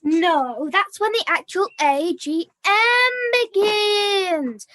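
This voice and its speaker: A young woman plays a bossy, exasperated puppet in a comedy show. The voice is extremely high and sharp, with slow, deliberate scolding in a dry, close sound. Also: lively intonation, no room sound, full character voice. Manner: stern, scolding. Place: video call.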